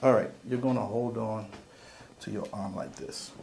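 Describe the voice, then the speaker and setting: A man's voice: lowish voice, fairly even intonation, moderate pace, darkish tone. Male chiropractor, noisy room. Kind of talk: calm hands-on instruction to a patient. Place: small chiropractic treatment room. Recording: clearly noisy room sound.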